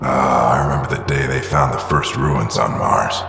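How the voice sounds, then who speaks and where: A man voicing a gruff middle-aged father and veteran explorer who talks in a halting, awkward way. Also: rumbling low, fairly even intonation, pushed and bright, full character voice. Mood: reflective. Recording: echoey reverberant room.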